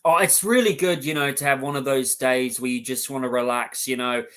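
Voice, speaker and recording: A man's voice: mid-range voice, fairly even intonation, fairly quick, a bit bright. A man hosting a podcast interview, rambling and leaning heavily on "you know". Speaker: podcast host. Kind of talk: casual interview talk.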